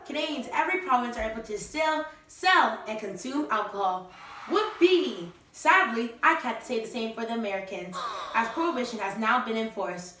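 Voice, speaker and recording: A woman's voice: fairly high pitch, natural voice. A young woman acting as a chirpy, theatrical 1920s radio show host who uses old-time slang. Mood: excited. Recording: roomy, distant mic, faint noise.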